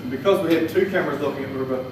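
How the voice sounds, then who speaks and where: A man with a New Zealand accent presents technical training in an informal, plain-spoken way. He is picked up from far off in a very reverberant room, with clear noise behind him. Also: a little high, rapid-fire. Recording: echoey room, distant mic, noisy.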